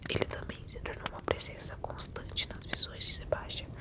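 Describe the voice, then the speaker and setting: Whispering Brazilian woman telling a bedtime story. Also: fairly quick. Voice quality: whispered, breathy, unvoiced. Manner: soothing, addresses listener directly. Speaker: ASMR creator and storyteller.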